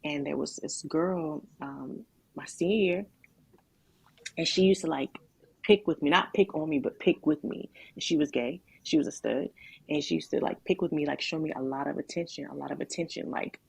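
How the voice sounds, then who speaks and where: A woman with a deep voice telling her life story in a candid, reflective conversation, with halting restarts and filler words. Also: creaky, darkish tone, breathy edge, dry recording, rough recording. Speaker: guest sharing her testimony.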